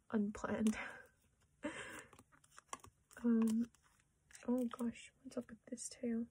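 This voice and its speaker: A young Australian woman chatting quietly to camera while sorting her collection, often dropping into a half-whisper and poking fun at herself. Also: on the high side, vocal fry, a little hiss or hum, rough recording. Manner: soft-spoken, often half-whispering, self-deprecating. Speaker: collecting vlogger. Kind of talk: casual chat while sorting cards.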